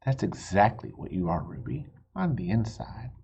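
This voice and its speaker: A reader voicing a gentle, thoughtful male silverback gorilla in a picture book read aloud. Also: mid-range voice, sing-song, moderate pace, gravelly, muffled recording, a little hiss or hum. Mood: reassuring.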